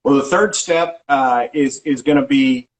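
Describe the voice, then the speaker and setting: Middle-aged guy, real estate agent, stops and restarts a lot, lots of ums, average pitch. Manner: halting, many restarts and ums. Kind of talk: casual interview answers.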